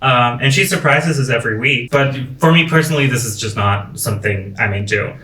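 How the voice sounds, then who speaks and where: A man from Friesland whose first language is Frisian, co-hosting a casual review chat and critiquing looks in an opinionated, measured way. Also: medium pitch, pushed and bright, recorded from a distance. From Friesland, Netherlands. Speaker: podcast co-host. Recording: echoey room, away from mic.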